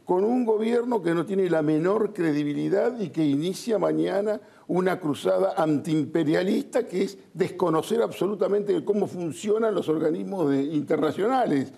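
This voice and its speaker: A man's voice: sits high, normal speed, gravelly, hardly any pauses, dark tone, breathy edge. A man with an Argentine Rioplatense accent giving blunt, emphatic, colloquial political opinions as an interview guest. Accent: Argentine Rioplatense Spanish.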